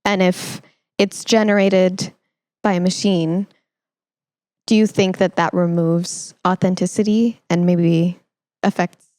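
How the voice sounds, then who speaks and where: A woman's voice: on the low side, fairly even intonation, takes it slowly, long pauses, darkish tone, no echo. A woman hosting a podcast, talking in a conversational, reflective way as she interviews a guest and shares her views.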